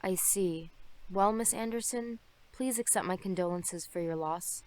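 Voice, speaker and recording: A woman voicing a kindly, formal member of school staff in a visual novel, polite and measured. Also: fairly low pitch, close to an everyday voice. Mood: kindly concern. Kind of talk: visual novel character acting.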